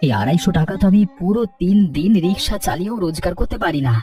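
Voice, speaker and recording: Caring, anxious cartoon rickshaw puller male performer, mellow tone, slightly distant over music. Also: high-pitched, fast talker, lightly acted. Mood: worried, caring. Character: poor, caring, worried village rickshaw-puller husband. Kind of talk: Bengali cartoon story dialogue.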